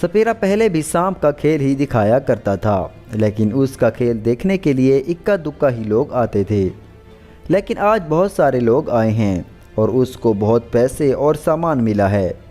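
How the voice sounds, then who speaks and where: A man's voice: medium pitch, animated, a little slow, dark tone, soft background music. A man narrating a scripted children's story as a storyteller.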